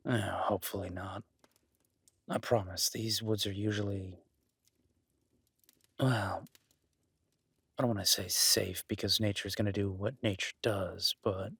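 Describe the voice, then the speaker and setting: A man's voice: fairly low pitch, fairly even intonation, measured pace, long pauses, husky, no echo, exaggerated voice. A man playing a teasing, protective woodland cabin host, speaking intimately in an audio roleplay. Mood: playful, reassuring. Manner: teasing, soft-spoken, intimate.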